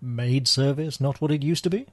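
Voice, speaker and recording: A man's voice: mid-range voice, moderate pace, dry recording, close to an everyday voice. A man playing a dry, unflappable British spy in radio theater, with a wry, understated manner. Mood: dryly conversational. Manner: wry, understated, old-fashioned turns of phrase.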